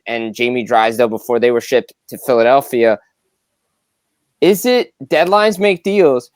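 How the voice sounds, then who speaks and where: Male podcast interviewer, average pitch, casual sports chat, very dry room sound. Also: no room sound. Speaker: podcast host.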